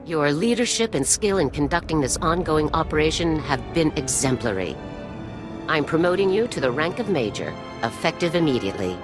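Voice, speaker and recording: An actress playing a formal, authoritative army general in a video game, speaking in a measured, official manner. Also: female voice, low-pitched, animated, music in the background. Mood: formal approval. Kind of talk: video game cutscene acting.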